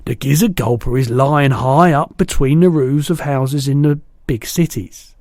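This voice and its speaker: A man reading aloud and voicing a big, kindly male giant who mangles his words, in a louder and higher voice than his own. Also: a little slow, no echo, clean studio sound, close to an everyday voice. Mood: earnest. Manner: jumbled made-up words, booming and eager.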